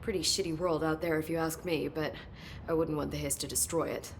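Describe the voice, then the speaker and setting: An actress playing an earnest, knowledgeable government researcher in a video game, explaining in a measured, understated way. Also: female voice, low voice, fairly even intonation, slight background noise. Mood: explanatory. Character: earnest, knowledgeable female government agency researcher, briefing her director. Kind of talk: video game cutscene acting.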